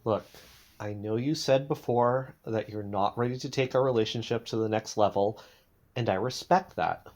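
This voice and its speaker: A man acting an anxious, earnest podcaster in an audio drama, halting and often breaking off mid-sentence. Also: medium pitch, darkish tone, close to an everyday voice. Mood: pleading, earnest. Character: anxious, earnest young male podcaster livestreaming desperate proof.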